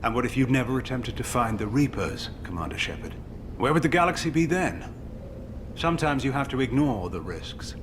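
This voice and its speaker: An actor playing a defensive scientist in a video game, earnest and grave, explaining himself with emphasis. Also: male voice, medium pitch, sing-song, a little fast, noisy background, lightly acted. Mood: earnest, justifying. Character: defensive male scientist justifying his disastrous experiment. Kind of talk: dramatic video game dialogue acting.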